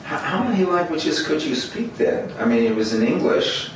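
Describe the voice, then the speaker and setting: A man's voice: a little high, sing-song, normal speed. A man interviewing an older scholar, asking questions casually with restarts. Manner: conversational, rambling questions with restarts. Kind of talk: oral history interview. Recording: roomy, distant, faint hiss.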